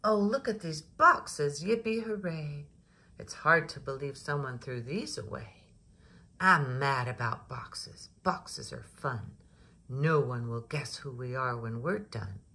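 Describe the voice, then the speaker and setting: A woman voicing a playful, eager little girl in a children's storybook, excitable and sing-song in rhyme. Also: a bass voice, slow, breathy edge, exaggerated voice. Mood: excited. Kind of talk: children's storybook read-aloud.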